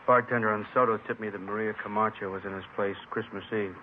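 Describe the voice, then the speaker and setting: An actor playing a laconic, deadpan police detective reporting in clipped phrases in an old radio crime drama. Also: male voice, fairly low pitch, fast, slight background noise, natural voice. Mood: businesslike. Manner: clipped, subjectless sentences, deadpan. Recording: narrow, tinny old radio recording.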